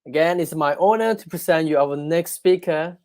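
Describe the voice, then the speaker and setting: A man's voice: on the high side, moderate pace, darkish tone. A man hosting an online conference, introducing speakers in an upbeat, announcer-like way. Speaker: event host.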